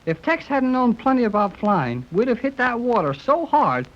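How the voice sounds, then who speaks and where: A man's voice: very high voice, big pitch swings, normal speed, fairly bright tone, exaggerated voice. A man playing a brash, impatient, boyish young sidekick in a 1930s radio serial, full of folksy exclamations. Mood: admiring, emphatic. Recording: dull old 1930s radio recording.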